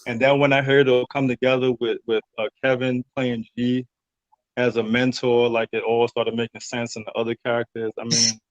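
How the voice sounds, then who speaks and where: A man being interviewed as a radio drama cast member, talking casually in a halting, reflective, informal way over a call. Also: mid-range voice, flat delivery, no echo, highs cut off.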